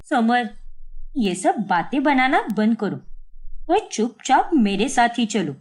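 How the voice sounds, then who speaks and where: A woman's voice: fairly high pitch, sing-song, slow, a little mellow. A woman voicing a short-tempered young mother who answers sharply and defensively. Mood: defiant.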